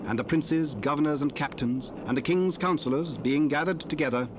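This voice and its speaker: A man narrating scripture in a solemn, formal, measured storytelling style. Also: on the high side. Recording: noisy 1970s cassette recording.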